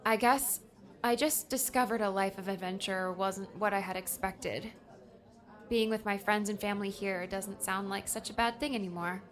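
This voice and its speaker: A woman's voice: mid-range voice, fairly even intonation, normal speed, lightly acted. A woman voicing an earnest, hesitant young adventurer in conversational audiobook dialogue. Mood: hesitant, apologetic.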